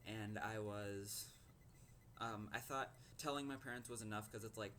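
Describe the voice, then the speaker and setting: Teen boy, fifteen, low voice, halting personal story over faint hiss. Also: fairly bright tone. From Minnesota. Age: teens. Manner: hesitant, many ums and likes. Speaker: teen vlogger.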